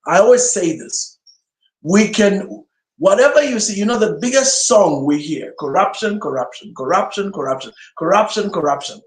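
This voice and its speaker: Emphatic high-voiced male pastor. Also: animated, normal speed. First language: Swahili. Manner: emphatic, rhetorical questions. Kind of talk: sermon-style teaching talk. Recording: roomy echo.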